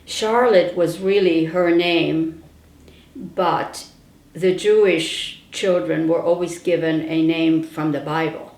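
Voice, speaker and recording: A woman's voice: low voice, steady tone, slow pace, a little mellow. An older woman, recalling her childhood as a storyteller with wry asides. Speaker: interviewee. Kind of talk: personal oral-history reminiscence. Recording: roomy indoor echo.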